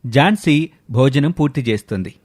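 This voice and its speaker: A man's voice: medium pitch, expressive, normal speed, darkish tone. A man narrating a scripted moral story.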